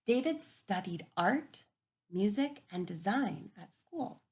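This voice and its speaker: A kindergarten teacher, a young woman, reads a storybook aloud in a gentle, encouraging way. Her mid-pitched voice carries a gravelly fry, and she often slips into a hushed whisper to draw the children in. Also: sing-song, takes it slowly.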